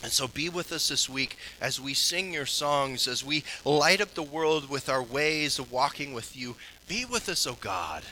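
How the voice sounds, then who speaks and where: Bright-voiced man preaching a sermon. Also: expressive, a little slow, few pauses, a little hiss or hum, low-quality recording. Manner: earnest preaching with wide swings in loudness, dropping low and soft for prayer. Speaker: pastor. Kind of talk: sermon closing in prayer.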